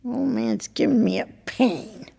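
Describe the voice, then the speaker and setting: An actress playing a blunt, worldly older married woman in an intimate audio drama, with a husky, creaky voice and a wry, halting manner. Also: on the high side, animated, warm tone, full character voice. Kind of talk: intimate two-person audio drama acting.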